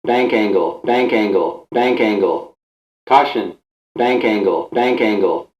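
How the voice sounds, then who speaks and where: A man's voice: on the low side, slow pace. A man voicing an automated aircraft warning system with clipped, impersonal repeated callouts, heard as muffled, noisy playback from a small speaker. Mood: terse, insistent. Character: automated male cockpit warning system, terse and insistent.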